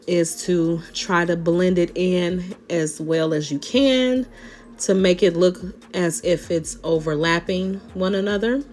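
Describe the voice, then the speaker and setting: Woman, lowish raspy voice, slow rambling nail-tutorial voice-over over faint music. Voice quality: naturally deep voice. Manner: chatty, rambling, addresses viewers directly. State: raspy after a sinus infection.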